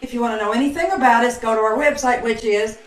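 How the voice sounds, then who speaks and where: An American woman gives chatty show announcements in a slightly high voice. She sounds set back from the microphone in an echoey room on a video call. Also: fast, distant mic. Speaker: co-host of radio troupe show.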